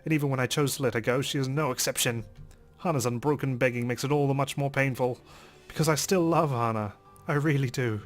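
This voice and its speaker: A man playing and reading a visual novel aloud in a dramatic, expressive way as a let's player. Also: mid-range voice, a little fast. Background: game music.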